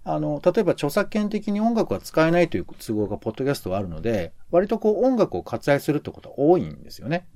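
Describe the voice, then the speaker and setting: A man's voice: on the high side, very animated, quick pace, few pauses, warm tone, dry recording. A man co-hosting a podcast, explaining in casual chat in a hedging, roundabout way. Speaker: podcast co-host commenting on radio shows.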